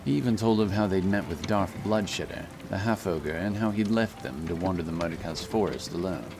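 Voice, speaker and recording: A man narrating a fantasy audiobook in a measured, solemn, dramatic storytelling style. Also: sits low, noisy background. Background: background music and sound effects.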